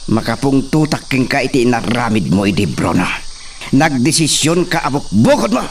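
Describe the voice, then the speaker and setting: An actor with a northern Philippine accent playing an imperious, mocking young hacienda master in a radio drama, commanding and taunting. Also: male voice, mid-range voice, sing-song, normal speed, recorded from a distance, a little hiss or hum. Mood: angry, taunting.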